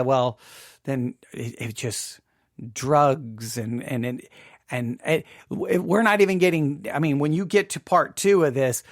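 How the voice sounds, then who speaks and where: Guy podcasting off the cuff, kinda high voice, emphatic, keeps rephrasing, really dry recording. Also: dry recording. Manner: emphatic, repeats and rephrases himself. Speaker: podcast host. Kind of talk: informal personal commentary.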